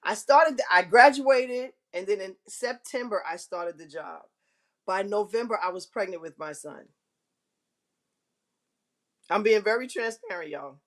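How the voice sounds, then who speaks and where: A woman hosting a live online show, telling a candid personal story to her viewers in a big, carrying voice, frank and self-mocking, often clearing her throat. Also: medium pitch, expressive, a little slow, pauses often.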